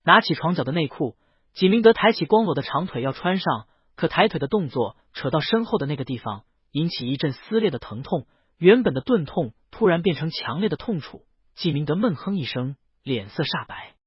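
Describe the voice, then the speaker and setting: A man's voice: fairly high pitch, very animated, brisk, slightly rough, no room sound, lo-fi sound. A man narrating a scripted story as an audiobook reader.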